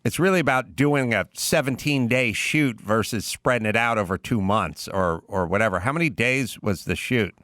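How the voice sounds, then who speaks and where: Low-voiced male podcast host, relaxed and thoughtful, dry close sound. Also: normal speed, few pauses, no echo. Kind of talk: casual podcast interview.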